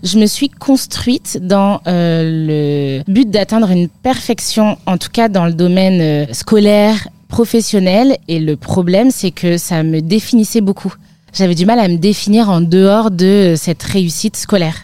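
A woman's voice: mid-range voice, measured pace, dark tone, dry recording. A woman from northern France in her thirties, a midwife guest chatting casually with frequent fillers and light laughs. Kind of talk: casual interview chat.

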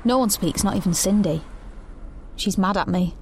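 An actress playing a defiant, protective teenage sister, blunt and sardonic, in an audio drama. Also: female voice, mid-range voice, normal speed, a little mellow, slight background noise, natural voice. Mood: sullen, resigned. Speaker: lead actor and narrator. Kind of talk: audio drama narration and dialogue. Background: background music.

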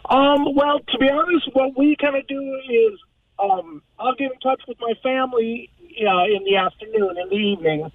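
A man speaking as a radio guest in a relaxed, hesitant way, with many fillers. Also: extremely high pitch, moderate pace, no echo. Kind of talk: casual radio interview. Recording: tinny phone-like line.

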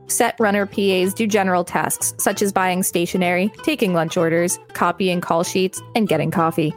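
A woman's voice: fairly low pitch, steady tone, moderate pace, soft background music. A woman co-hosting a podcast, reading an article aloud and adding casual commentary. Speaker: podcast co-host.

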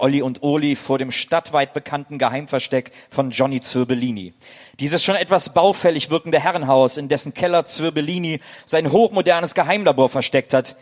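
A man narrating a comedic audio play in a theatrical storytelling style. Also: a little high.

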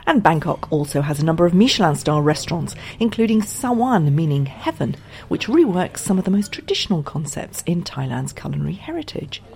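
Deep-voiced woman, lively podcast host. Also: brisk, creaky. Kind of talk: podcast narration and interviewing.

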